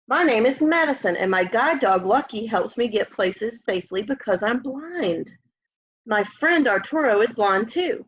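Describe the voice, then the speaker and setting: A woman's voice: on the high side, fairly bright tone, slightly rough. A woman reads a children's book aloud expressively at home, speaking simply as if to young children. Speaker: storybook reader. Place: room at home, by a window. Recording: slightly dull, lo-fi home webcam sound.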